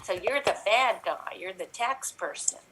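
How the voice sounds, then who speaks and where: A woman's voice: mid-range voice, lively intonation, unhurried, noisy background, lightly acted. A woman playing a chatty, bossy suburban aunt.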